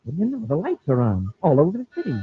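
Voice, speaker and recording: A man playing a boyish, eager space cadet sidekick, excitable and deferential, in 1950s radio serial style. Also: on the high side, racing, husky, heavily acted. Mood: curious, surprised. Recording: tinny, band-limited old radio recording.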